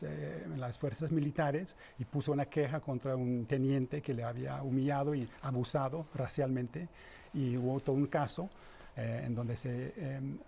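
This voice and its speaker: A man answering as a research guest in an interview, explaining in a halting way as he searches for words. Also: medium pitch, vocal fry, mellow, husky.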